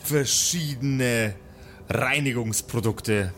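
A man's voice: medium pitch, very slow, a bit bright, slight background noise. A man acting as game master, improvising scene descriptions and banter for a tabletop role-playing game, with a dramatic way of setting scenes. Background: music.

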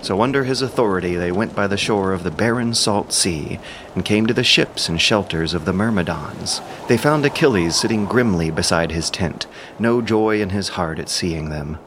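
A man with a creaky voice giving scripted, dramatic narration of epic poetry. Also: low voice, expressive, moderate pace, noisy background. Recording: music behind the voice.

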